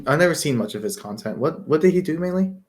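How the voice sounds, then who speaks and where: A man's voice: fairly high pitch, expressive, quick pace, a little mellow. A young man with a slight Southern US accent and a light, airy voice, co-hosting a podcast in easygoing chat and laughing readily. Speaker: podcast co-host. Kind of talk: casual chat.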